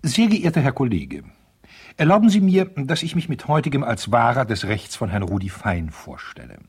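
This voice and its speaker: An actor playing a vain, insistent divorce lawyer in a 1970s radio play, reading out formal letters with pompous, stilted diction and a husky voice. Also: male voice, medium pitch, very animated, normal speed.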